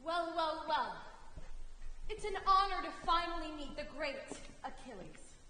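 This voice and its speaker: High-voiced proud queen, played by a woman in echoey hall. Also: big pitch swings, unhurried, pushed and bright, full character voice. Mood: regal, inviting. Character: proud, commanding queen and mother of the bride. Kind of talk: theatrical stage acting. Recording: echoey theatre hall with faint noise.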